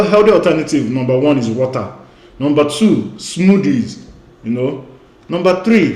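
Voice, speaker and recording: A man's voice: medium pitch, mellow, roomy sound. A man who grew up speaking Igbo, giving an emphatic online lecture as a weight-loss coach. He repeats his key points and often says "you know".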